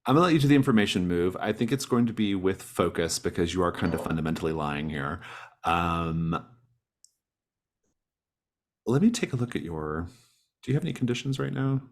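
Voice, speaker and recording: A man's voice: deep voice, brisk, pauses often. A man casually running a tabletop roleplaying game as game master.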